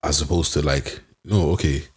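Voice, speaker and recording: A man in casual podcast chat, animated and putting on voices when he quotes others. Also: rumbling low, normal speed.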